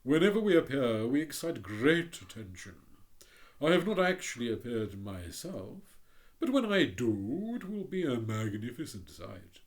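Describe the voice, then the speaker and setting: A storyteller voicing a haughty, conceited male firework rocket, slow and grandly drawn out. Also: mid-range voice, sing-song. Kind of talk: storybook reading.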